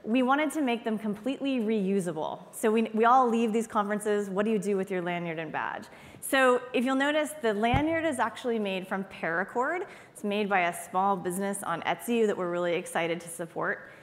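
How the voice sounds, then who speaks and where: A woman's voice: medium pitch, a little fast. Upbeat woman presenter, keynote stage, long hall reverb. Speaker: conference presenter. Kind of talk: keynote speech. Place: large conference hall stage.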